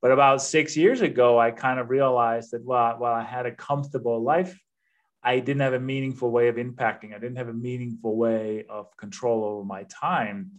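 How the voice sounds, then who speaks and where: Male guest, fifties, Danish accent, mid pitch, earnest and reflective conversational delivery. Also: steady tone, a little fast, muffled recording. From Denmark. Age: 50s. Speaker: guest, real estate investor. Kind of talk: casual interview chat.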